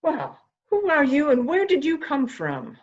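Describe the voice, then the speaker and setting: A woman's voice: sits high, wildly swooping pitch, unhurried, dull audio, full character voice. A woman acting a composed, skeptical member of a mansion household in a murder mystery reading. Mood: alarmed.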